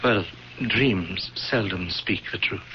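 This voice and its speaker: An actor playing a stately, scheming priest in a radio drama, measured and courtly as he feigns sorrow. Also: sits low. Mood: courteous, guarded. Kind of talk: classic radio drama acting. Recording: dull, noisy old radio broadcast.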